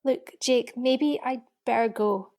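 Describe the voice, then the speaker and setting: A woman's voice: fairly high pitch, slow, darkish tone. A woman playing a weary, defensive young client in a naturalistic radio play. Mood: uneasy.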